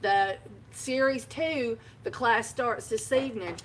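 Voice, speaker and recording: A woman's voice: fairly high pitch, slow pace, a little hiss or hum. A woman, hosting a casual live video from her own shop and telling a rambling story with frequent laughter. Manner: rambling, chatty storyteller who laughs often. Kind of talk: casual live-stream chat. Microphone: phone live video.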